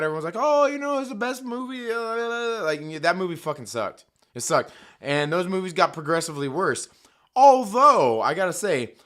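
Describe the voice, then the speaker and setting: A man hosting a live stream, talking casually and profanely while his voice is hoarse, joking and reading chat aloud. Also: on the high side, a bit bright.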